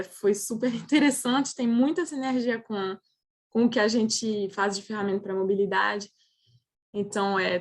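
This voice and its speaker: A native French woman presents in a semi-formal way with a French accent. Her voice is a bit high, and she speaks haltingly, searching for words. She is on a video call. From France. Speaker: company manager presenting as guest speaker.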